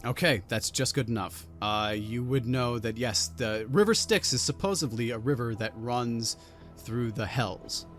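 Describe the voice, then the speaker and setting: Halting, deliberate man narrating a tabletop game. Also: medium pitch, fairly bright tone. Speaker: game master. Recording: music behind the voice. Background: background music.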